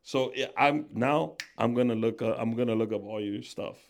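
A man's voice: medium pitch, normal speed. A man hosting a podcast in casual, joking chat, often slipping into voices. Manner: playful, joking, breaks into impressions. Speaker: podcast host.

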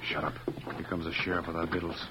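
A man's voice: low-pitched, little pitch movement, fast, natural voice. A male actor playing a surly condemned Old West bandit in an American radio drama, curt and sullen. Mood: surly, resigned. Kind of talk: old-time radio western acting. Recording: dull, noisy vintage radio recording.

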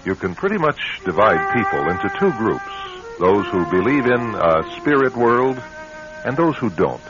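A man hosting and narrating a suspense radio show in a theatrical manner with dramatic pauses. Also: fairly low pitch, sing-song, over music. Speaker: host and narrator. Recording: dull vintage radio recording.

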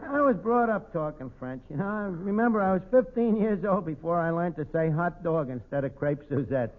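A man's voice: fairly high pitch, expressive, a little fast, natural voice. An actor playing a bumbling, flirtatious tavern manager in 1940s radio comedy, posing as a suave Frenchman with mangled French. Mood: flirtatious bluffing. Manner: fractured French, malapropisms, flirtatious blustering. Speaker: comic lead playing a tavern maitre d'. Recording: scratchy old radio broadcast, narrow band.

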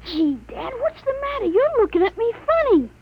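Excitable young boy, very high voice. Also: moderate pace, slight background noise. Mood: puzzled, boyish. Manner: boyish, excitable, slangy. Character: eager, innocent thirteen-year-old boy. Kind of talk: 1950s radio drama acting. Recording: dull vintage radio drama recording.

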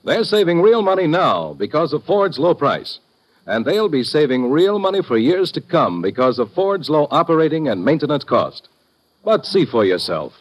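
A man's voice: fairly high pitch, very animated, normal speed, poor audio. An American man working as a commercial announcer, reading a 1950s radio advertisement.

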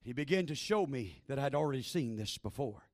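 A man preaching a fervent sermon in a small church, repeating phrases and building to shouted exhortation over a handheld microphone. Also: mid-range voice, animated, a little fast. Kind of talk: Pentecostal-style sermon.

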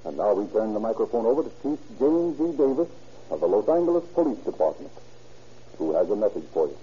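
1930s American radio announcer, muffled old recording. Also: male voice, on the low side, big pitch swings, quick pace, dark tone, breathy edge. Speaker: radio announcer and program host. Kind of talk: scripted 1930s radio announcing and sponsor pitch.

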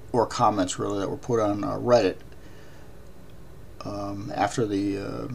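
A man hosting a podcast, raspy voice, talking haltingly with many ums and uhs. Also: on the low side, slight background noise. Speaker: podcast host. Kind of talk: casual podcast commentary.

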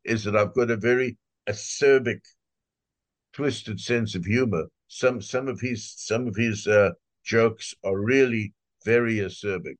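Wry older man, low and dry. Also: measured pace, no echo. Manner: wry and emphatic, piling up repeated phrases. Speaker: retired translator, guest. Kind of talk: conversational interview answers.

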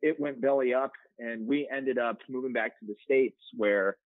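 A man's voice: mid-range voice, normal speed, no room sound. A young man telling his story as a podcast guest, candid and rambling with plenty of fillers. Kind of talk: casual interview storytelling. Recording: tinny phone-line sound, dry.

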